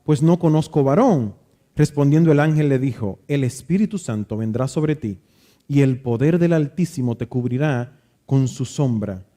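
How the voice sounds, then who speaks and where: A man with a Dominican accent, reading scripture aloud and then telling a reflective story about growing up, pausing and restarting now and then. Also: mid-range voice, dark tone. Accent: Dominican Spanish. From Dominican Republic. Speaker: preacher. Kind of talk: Bible reading and devotional talk.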